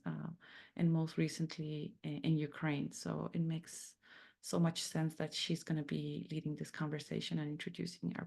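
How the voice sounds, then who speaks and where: A woman's voice: fairly low pitch, gravelly, darkish tone. A woman hosting an online briefing as club president-elect, speaking hesitantly with many fillers and restarts over a video call. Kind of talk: introducing a panel briefing.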